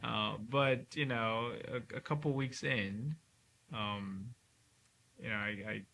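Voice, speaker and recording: A middle-aged man from Cameroon, a neurosurgeon talking reflectively in an interview, often ending points with a quick "right?". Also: mid-range voice, gravelly, sharp tone. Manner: reflective, frequent "right?" tag questions. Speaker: neurosurgeon guest. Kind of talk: relaxed interview conversation. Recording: faint hiss.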